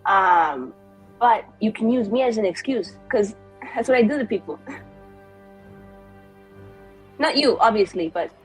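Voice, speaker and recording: Slightly husky woman plotting in a game. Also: medium pitch, animated, moderate pace, soft background music, low-quality recording. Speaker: tabletop game player. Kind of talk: in-character improvised game talk.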